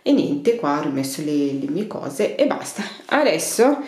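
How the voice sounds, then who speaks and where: A woman's voice: low-pitched, sing-song, measured pace, darkish tone. A woman in her thirties, a cleaning vlogger, chatting loosely with fillers and restarts as she tidies her home bathroom, recorded on a tripod camera. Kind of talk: casual clean-with-me narration. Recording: echoey tiled bathroom.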